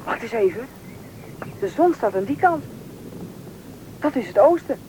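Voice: mid-range voice, sing-song, measured pace, dark tone, rough recording. A performer playing an injured, stubborn boy lost in the wilderness, bossy and urgent, in a radio play. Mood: irritated. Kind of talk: Dutch radio play acting. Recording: radio play recording, faint noise.

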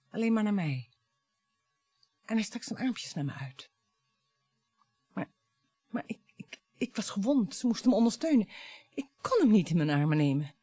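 A bitter wife and grieving mother in a radio drama. Her delivery is dramatic and taunting, with halting phrases and big swings in pitch, recorded dry and close. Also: mellow, breathy edge, dry recording. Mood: anguished, halting. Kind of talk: Dutch radio play acting.

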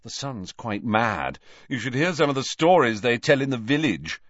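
Man playing a breezy, disdainful young gentleman. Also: medium pitch, big pitch swings, moderate pace, no room sound, dull audio.

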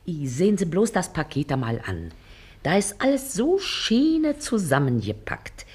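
Sly working-class mum in a radio play, blunt and folksy, acts simple. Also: female voice, fairly low pitch, huge pitch swings, measured pace, darkish tone, slightly rough, heavily acted. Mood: respectful, insistent. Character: shrewd, plain-spoken working-class mother, feigning simplicity. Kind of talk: German stage-comedy radio drama acting.

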